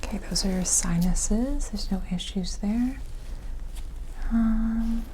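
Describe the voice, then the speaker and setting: A woman gives an ASMR medical roleplay exam in a breathy whisper, gently reassuring as an examiner. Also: a little high, slow pace, a little hiss or hum, rough recording. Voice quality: breathy, mostly unvoiced whisper. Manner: gentle, soothing clinical reassurance.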